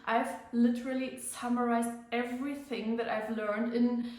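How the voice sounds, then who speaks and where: A woman with a noticeable German accent talks casually to her viewers, her voice sitting a little high. She chats along with plenty of fillers and a slightly self-conscious manner. The room around her rings with heavy echo. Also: level tone, normal speed, darkish tone. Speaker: fitness vlogger. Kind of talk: casual vlog to camera. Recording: very echoey room.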